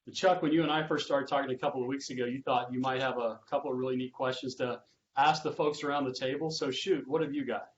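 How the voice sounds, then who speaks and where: A man's voice: medium pitch, fairly even intonation, quick pace, lo-fi sound. A man who works as a sports public address announcer, moderating a roundtable conversation.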